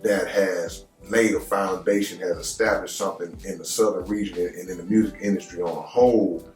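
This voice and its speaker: Low-voiced man hosting in an echoey room. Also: steady tone, brisk, a little mellow, faint music behind. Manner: effusive, keeps saying "man". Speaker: podcast host. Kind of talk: casual podcast interview.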